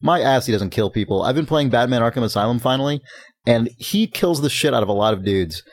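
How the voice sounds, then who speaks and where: Guy on a games podcast, middle pitch voice, swears, dry jokes, casual chat. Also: animated, fast. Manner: profane, dryly joking. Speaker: video game podcast host.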